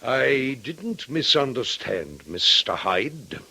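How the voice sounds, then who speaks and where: An actor playing a grave, authoritative older father with a husky voice, speaking formally and firmly in old-time radio drama. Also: medium pitch, very animated, takes it slowly, pushed and bright, heavily acted. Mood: stern. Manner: formal, firm.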